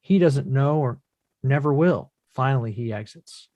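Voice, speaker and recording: A man's voice: mid-range voice, measured pace, warm tone, no room sound. Man narrating, close and dry. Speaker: stage directions reader. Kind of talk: scripted narration at a table read.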